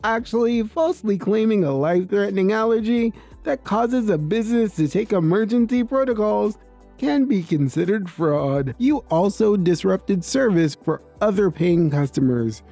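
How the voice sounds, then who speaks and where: A storyteller voicing a polite, firm male restaurant manager who speaks calmly and formally. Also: mid-range voice, gravelly, soft background music. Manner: calm, formal, measured.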